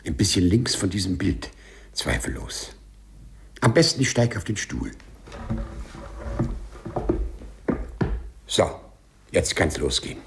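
A man's voice: low voice, animated, normal speed, noisy background. A man playing a meek office clerk turned spiteful avenger in a 1950s German radio play, theatrical and mock-servile. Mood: scheming glee.

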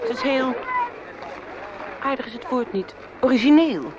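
A woman's voice: high-pitched, expressive, unhurried, a loud crowd. A young actress playing a moody, self-deprecating young woman in a radio play, curt and guarded in manner. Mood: glum, frank. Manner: curt, defensive. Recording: dull, noisy radio play with outdoor sounds.

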